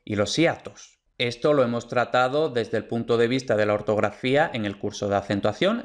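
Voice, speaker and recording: A man teaching pronunciation in an online course, speaking clearly and restating each point. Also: mid-range voice. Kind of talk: online course lecture over slides.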